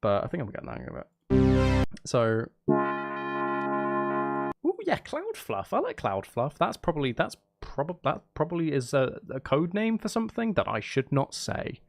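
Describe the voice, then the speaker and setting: Animated man livestreamer, slightly creaky voice. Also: a little high, normal speed. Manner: rambling, thinking aloud, chatting with viewers. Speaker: livestreamer making music live. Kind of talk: casual livestream chat. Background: music and synth sounds.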